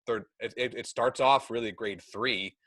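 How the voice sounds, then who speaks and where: A man's voice: medium pitch, a little slow, background noise. A middle-aged American man chatting in a work meeting on a video call, explaining things and often correcting himself. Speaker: meeting leader, school technology administrator. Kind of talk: casual work meeting chat.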